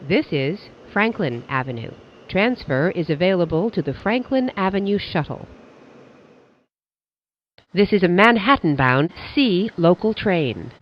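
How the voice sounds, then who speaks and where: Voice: medium pitch, big pitch swings, measured pace, dull audio. A subway train announcer reading scripted transit announcements in formal, clipped stock phrases.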